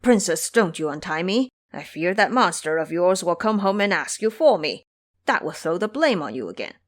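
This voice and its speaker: A woman's voice: on the low side, sing-song, moderate pace. A woman voicing a humble, dutiful male monk in an audio drama, earnest and deferential. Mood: worried.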